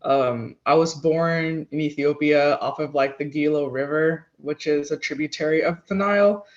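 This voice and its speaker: A man's voice: fairly high pitch, normal speed, lo-fi sound. A man sharing in a group call, hesitant, with lots of fillers. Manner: hesitant, lots of ums and likes. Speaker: workshop participant.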